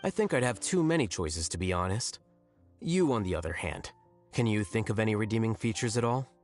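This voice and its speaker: An actor playing an aloof young male scholar who talks in a calm, deadpan, cutting way. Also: fairly low pitch, fairly quick, soft background music, lightly acted. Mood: dry. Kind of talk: video game dialogue.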